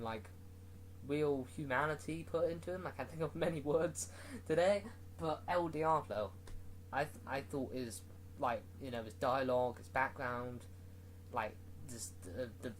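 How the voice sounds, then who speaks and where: A man's voice: medium pitch, slight background noise, poor audio. A man giving a casual, rambling movie review, full of fillers and restarts.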